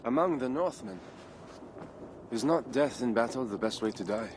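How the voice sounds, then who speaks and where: An actor playing a proud medieval Frankish count in a dramatic game cutscene, formal, solemn and defiant. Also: male voice, medium pitch, expressive, moderate pace, noisy background, lightly acted. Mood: bitter, brooding. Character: proud, doomed medieval Frankish count defending his city.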